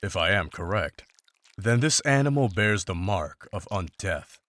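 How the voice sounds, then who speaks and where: An actor playing a grim, dry-witted monster hunter in a fantasy visual novel, speaking in a measured, formal, deadpan way. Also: male voice, deep voice, no room sound. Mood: dry, grave.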